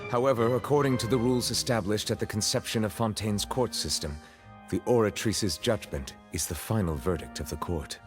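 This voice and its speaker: A man acts out a composed chief judge from a video game. The voice is low and grave, and he speaks formally and courteously, with measured reserve throughout. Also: over music, natural voice. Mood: solemn, apologetic.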